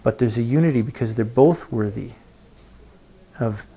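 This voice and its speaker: A man giving an informal expository sermon as a preacher, halting and thinking aloud, often checking in with a short tag question. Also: mid-range voice, dark tone, husky. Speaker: preacher teaching a Bible passage.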